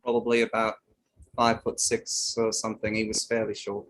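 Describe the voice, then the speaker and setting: A man's voice: on the low side, monotone, moderate pace, a bit bright, close to an everyday voice, poor audio. A man playing a matter-of-fact, slightly defensive boat crewman giving evidence in a table read of an inquiry play.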